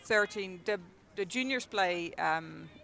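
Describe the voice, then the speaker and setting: A woman's voice: medium pitch, slow pace, gravelly, pushed and bright. A young Dutch woman with a Dutch accent, chatting casually as a guest commentator at a hockey game, with lots of ums and laughs. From Netherlands. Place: outdoors at a field.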